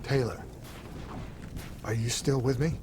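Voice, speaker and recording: An actor playing a troubled, earnest soldier in a video game, terse and strained. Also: male voice, medium pitch, fairly even intonation, slow, noisy background, close to an everyday voice. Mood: disbelieving, anxious. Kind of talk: dramatic video game cinematic acting. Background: music.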